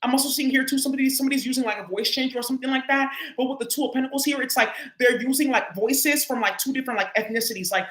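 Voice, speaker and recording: Casting a woman tarot reader, quick and sharp-toned, slangy and profane, recorded in an echoey room. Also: high voice. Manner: loose, profane, heavy with filler words. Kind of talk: casual tarot reading to viewers.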